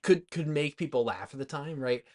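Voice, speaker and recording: Man with a higher-pitched, conversational voice. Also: lively intonation, moderate pace, a little mellow. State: has had a couple of beers. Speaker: podcast co-host. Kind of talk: casual movie chat.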